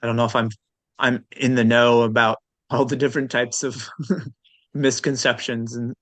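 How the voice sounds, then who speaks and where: A man with a medium-pitched voice answers as a guest in a casual conversation. He is thoughtful and hesitant, feeling his way through each point and hedging. The recording is dry and close. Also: flat delivery, normal speed, fairly bright tone, no echo. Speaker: interview guest, transplant recipient.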